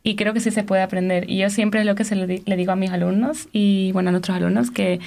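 Fast-talking woman from Spain. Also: mid-range voice, steady tone, gravelly, a little mellow. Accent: Spanish from Spain. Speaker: dance instructor guest. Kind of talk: interview answers.

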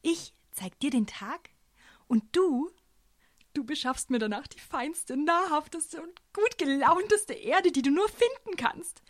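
Haughty, squeaky talking tuber. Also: female voice, expressive, unhurried, no echo. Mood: haughty, bargaining. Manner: snooty, scoffing, exclaiming and giggling. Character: haughty, mocking, high-voiced talking tuber plant. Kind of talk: children's radio play acting.

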